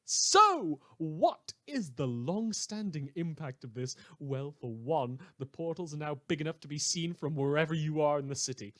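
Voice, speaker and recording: A man's voice: a little high, lively intonation, normal speed, few pauses, bright, forceful tone. A man acting as a snide, theatrical small-town radio host, reading mock news in a scripted fan drama. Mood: sardonic. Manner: sardonic, theatrical, swinging from muttered asides to outbursts.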